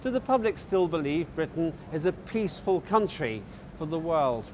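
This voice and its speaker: A man with a fairly high voice asks passers-by measured, pointed questions. He sounds like a reporter. A faint hum of traffic sits behind him on the street. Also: lively intonation, a little mellow. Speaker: street interviewer.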